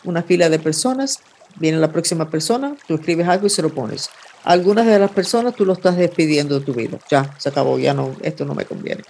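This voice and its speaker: A man hosting a weekly horoscope reading, giving calm, intimate advice with vivid imagery, in Spanish that is his second language. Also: on the high side, brisk. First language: not Spanish. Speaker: astrologer host.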